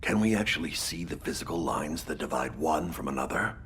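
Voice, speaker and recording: A man voicing a philosophical old ace pilot in a video game, speaking gravely. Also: very deep voice, animated, a little fast, a bit bright, a little hiss or hum. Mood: pensive.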